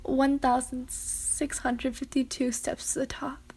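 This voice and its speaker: A woman's voice: high-pitched, flat delivery, takes it slowly, darkish tone, slightly rough, heavily acted. A woman playing a tearful, devoted young girl in an audio drama, earnest and pleading. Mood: sad, tender.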